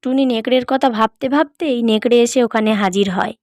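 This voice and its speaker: A woman narrates a scripted cartoon tale. Her voice is on the high side. The recording is dry, with no audible room. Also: fairly quick, a little mellow, dry recording.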